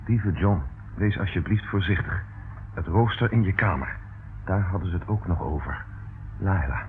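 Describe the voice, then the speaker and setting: An actor playing a shrewd, decisive detective who calmly takes command in a radio drama. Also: male voice, low-pitched, moderate pace, lightly acted. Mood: alarmed, urgent. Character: shrewd, cool-headed male detective taking command in danger. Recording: old lo-fi radio recording, faint noise.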